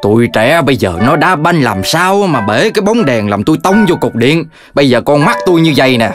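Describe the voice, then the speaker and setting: A man with a southern Vietnamese accent voicing an indignant adult yellow ox neighbour in a children's cartoon, complaining in an exaggerated way. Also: a little high, very animated, brisk. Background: music.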